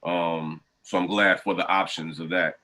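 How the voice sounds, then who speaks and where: Laid-back man hosting a podcast, low voice. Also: unhurried. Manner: laid-back, relaxed slang. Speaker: podcast host. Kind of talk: casual interview over video call. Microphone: video call.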